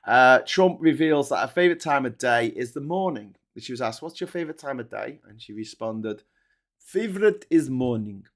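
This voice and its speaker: A man's voice: mid-range voice, lively intonation, moderate pace. A man hosting a casual morning livestream, reading comments aloud with sarcastic, rambling asides. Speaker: host.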